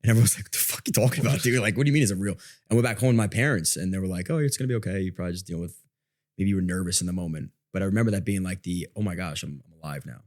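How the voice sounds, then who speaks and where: A man's voice: low voice, rapid-fire, darkish tone. A man chatting casually on a podcast, informal and slangy, thinking aloud as he goes.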